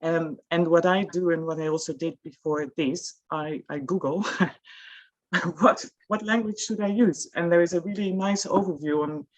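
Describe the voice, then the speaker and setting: A woman with a Dutch accent speaking on a panel as an employer, thoughtful and soft-spoken with frequent pauses. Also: on the low side, steady tone, poor audio. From Netherlands. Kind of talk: panel discussion.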